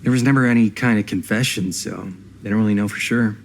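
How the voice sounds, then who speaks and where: A man's voice: on the low side, fast talker, slight background noise, close to an everyday voice. An actor playing an easygoing but cautious young American man in a video game, speaking in a casual, slightly hesitant and wry way. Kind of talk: naturalistic video game acting.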